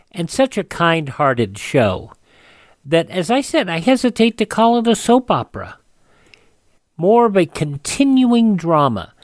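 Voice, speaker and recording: A man's voice: a little high, no room sound. A man hosting a classic radio program in an informal, partly ad-libbed style. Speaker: radio host.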